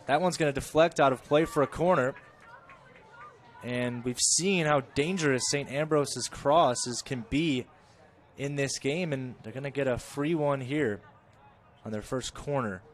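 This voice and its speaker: A man giving chatty live play-by-play of a college soccer match, correcting himself and rising in pitch and volume when the play gets dangerous. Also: measured pace. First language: English. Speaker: play-by-play commentator.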